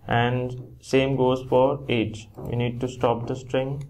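A young man narrating a screen-recorded coding tutorial, explaining each step plainly and correcting himself now and then. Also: medium pitch. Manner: steady step-by-step explaining with small self-corrections. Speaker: programming tutor.

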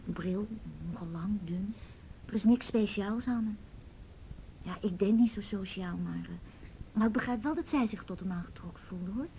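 A woman's voice: dark tone, close to an everyday voice. A woman playing a hesitant, nervous teenage schoolgirl being questioned in a Dutch radio drama. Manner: hesitant, halting. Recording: noisy old radio drama recording, rumble.

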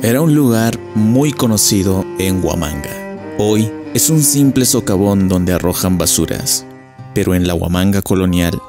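Low-voiced male storyteller over music. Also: animated, unhurried. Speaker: narrator. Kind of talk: scripted storytelling narration of a local legend.

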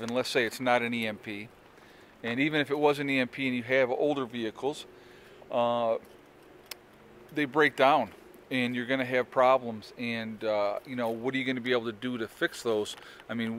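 A man's voice: mid-range voice, fairly even intonation, moderate pace, a bit bright, a little hiss or hum. Man, 40s, homestead vlogger, selfie-style outdoors, loose rambling delivery. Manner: rambling, many fillers and restarts. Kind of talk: casual talk to camera. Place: outdoors in woods on a sunny day. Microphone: phone or camera held at arm's length.

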